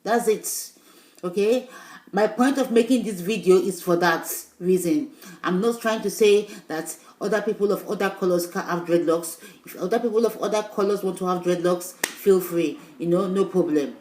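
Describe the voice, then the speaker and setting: A woman's voice: lowish voice, normal speed, darkish tone, echoey room. A woman vlogger, English not her first language, talking to her viewers casually with emphatic, insistent points and loose rambling asides. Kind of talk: casual video talk to viewers.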